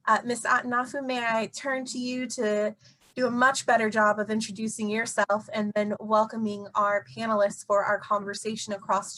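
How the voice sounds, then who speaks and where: A woman host formally introducing the next moderator on a video call, in a measured tone. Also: mid-range voice, a bit bright, poor audio.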